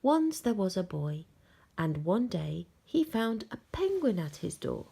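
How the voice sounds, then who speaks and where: A woman storyteller reading a children's bedtime story aloud, gently and expressively, with dramatic pauses and hushed phrase endings. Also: mid-range voice, very animated, gravelly, breathy edge.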